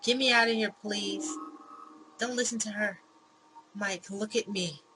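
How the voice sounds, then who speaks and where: A woman voicing a desperate, foul-mouthed young woman from a visual novel, urgent, forceful and pleading. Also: medium pitch, fairly even intonation, a little slow, close to an everyday voice. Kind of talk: visual novel read-aloud acting. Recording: clearly noisy background.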